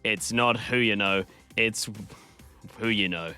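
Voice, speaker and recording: A male radio host plays a bumbling interviewer for a comedy bit. His voice is bright and forceful. He reads a fake news report in a mock-serious tone over a faint music bed. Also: fairly low pitch, slow pace. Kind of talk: comedic radio skit hosting.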